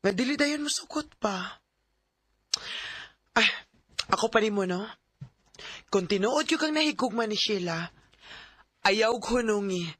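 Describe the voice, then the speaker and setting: A woman's voice: medium pitch, animated, measured pace, long pauses, fairly bright tone, no echo. A Filipina with a Bisaya accent playing a chatty, teasing, doting mother in a radio drama. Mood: encouraging.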